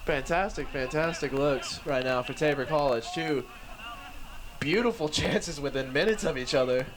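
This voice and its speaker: Hoarse excitable man calling a match. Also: on the high side, normal speed, a little hiss or hum. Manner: excitable, chuckles often, shouts on goals. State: slightly hoarse. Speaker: play-by-play commentator. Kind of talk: live sports commentary.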